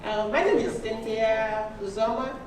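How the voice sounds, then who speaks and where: A woman from Nigeria with a Nigerian accent, a registered nurse giving a friendly personal pitch about her business. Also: unhurried, noisy background. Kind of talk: personal pitch to a live audience. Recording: echoey hall, distant mic.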